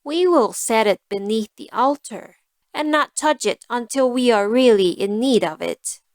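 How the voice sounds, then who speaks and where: A woman's voice: on the high side, animated, unhurried, no echo, studio quality. A woman voicing a sly, self-serving female cat in a storybook, with a cool, persuasive manner. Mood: sly, coaxing.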